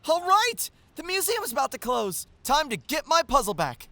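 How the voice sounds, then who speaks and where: A man's voice: very high voice, wildly swooping pitch, moderate pace, pushed and bright, full character voice. A man playing an innocent, friendly small teenage boy in an audio manga, with a guileless, exclaiming delivery. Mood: cheerful. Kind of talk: animated audio manga acting.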